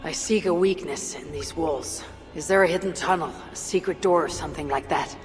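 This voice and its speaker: A woman playing a bold Norse warrior and raider in a video game, speaking in a measured, blunt, grave way. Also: low-pitched, soft background music, natural voice. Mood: determined. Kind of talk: video game cutscene acting.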